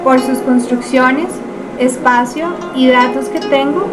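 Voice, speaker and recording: A woman's voice: high-pitched, steady tone, takes it slowly. A woman playing an enchanted time traveller in a student radio drama, marvelling as she describes what she sees. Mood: enchanted, curious. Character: enchanted female time traveller observing an ancient village. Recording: echoey, distant, under loud music.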